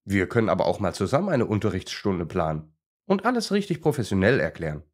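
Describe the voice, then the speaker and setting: Man as a lively, polite dog schoolteacher. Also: deep voice, fast talker. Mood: friendly. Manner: polite, instructive, jokey. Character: friendly male German shepherd playing teacher.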